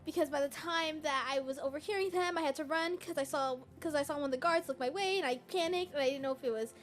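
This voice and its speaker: A woman voicing an excitable, anxious young girl, a devoted little sister, in an amateur Minecraft roleplay, flustered and stammering. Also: sits high, fast talker, natural voice. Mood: urgent, panicked. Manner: flustered, stammering, repeats words.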